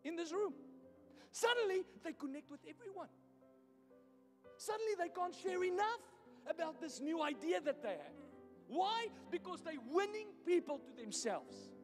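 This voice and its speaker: South African male pastor, high-pitched, blunt and teasing from the pulpit, echoey hall. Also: big pitch swings, normal speed, faint music behind. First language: Afrikaans. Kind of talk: sermon. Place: church hall.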